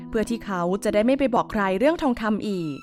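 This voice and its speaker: A woman's voice: a little high, expressive, quick pace. A woman reading a scripted fairy tale for children as a storyteller. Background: music.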